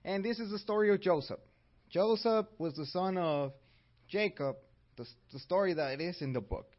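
A man's voice: sits high, moderate pace, a little hiss or hum. A man from Mexico with a Mexican accent, teaching a church class and asking his audience questions in an upbeat way. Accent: Mexican Spanish. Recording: dull lo-fi recording.

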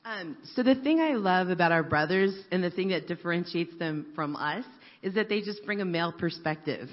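A woman's voice: on the low side, lively intonation, fairly quick. A woman confidently sharing her view in a group, with light laughs. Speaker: church group member. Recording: echoey hall, dull sound.

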